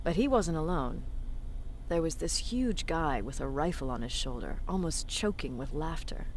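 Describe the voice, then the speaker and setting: A woman's voice: low voice, expressive, normal speed, a little hiss or hum. A woman playing a battle-hardened resistance commander in a video game, with a blunt, authoritative manner. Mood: wry, reminiscing.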